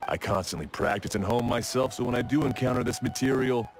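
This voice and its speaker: An actor playing a proud, exacting blacksmith in a video game, speaking in a measured, wry, matter-of-fact way. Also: male voice, medium pitch, slight background noise, natural voice. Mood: earnest, reflective. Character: proud, exacting male blacksmith, gruff but obliging craftsman. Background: game music.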